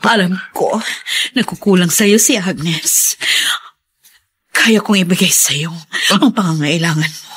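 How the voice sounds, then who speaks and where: A woman's voice: fairly low pitch, slow pace, fairly bright tone, slightly rough, full character voice. An actress playing a flirtatious, doting middle-aged mother-in-law in a radio drama, with a Filipino accent and a coaxing, fussing manner. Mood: seductive.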